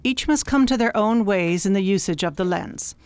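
A woman playing a wise alien mentor who talks like an exacting, gently scolding professor in a radio drama. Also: mid-range voice, normal speed, clear, resonant tone, dry recording, lightly acted. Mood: wise, serene. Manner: precise, schoolmistressy, gently scolding. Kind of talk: science fiction radio drama acting.

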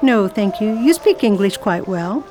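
Woman acting a well-off lady adventurer, medium pitch, polite, curious, radio drama, faint background noise. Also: lively intonation, normal speed, natural voice. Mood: bright, polite. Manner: polite, inquisitive, exclamatory. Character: genteel, curious well-off English lady traveller.

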